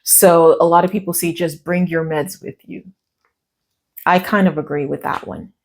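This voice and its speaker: An American woman with a fairly low voice tells a personal story in a chatty way, glancing at her notes as she goes. Her voice still sounds a little worn from a recent cough. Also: fairly even intonation, moderate pace. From United States. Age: middle-aged. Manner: chatty, reading from notes, quoting others in asides. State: recovering from a cough and lost voice. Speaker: vlogger. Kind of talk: casual storytelling to camera.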